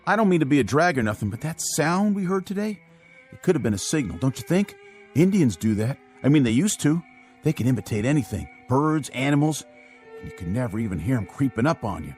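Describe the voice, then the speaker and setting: A man's voice: mid-range voice, big pitch swings, fairly quick, darkish tone, soft background music, close to an everyday voice. An actor playing a nervous young army private who rambles about his fears. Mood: anxious. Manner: anxious, rambling.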